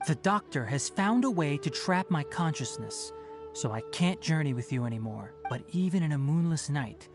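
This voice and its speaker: A man voicing a composed young forest scholar in a video game, speaking in an articulate, measured, formal way. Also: a little high, animated. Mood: grave, amazed. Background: game music.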